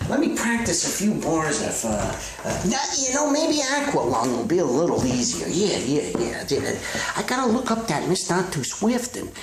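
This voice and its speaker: A man puts on a self-important yet hapless author in a comedy phone skit, excitable and stubbornly positive. His lines wander and stammer, with lively swings in pitch. The recording is very roomy, far from the mic, with faint noise. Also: normal speed. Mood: bravely hopeful. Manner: stammering, rambling, repeating himself. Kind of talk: one-sided comedy phone call skit. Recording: echoey distant old recording, faint noise.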